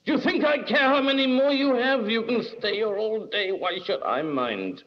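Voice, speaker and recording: Man acting irritable, high-pitched nagging bloke. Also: animated, moderate pace, dull audio. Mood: rising temper. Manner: insistent, quarrelsome, peppered with tag questions.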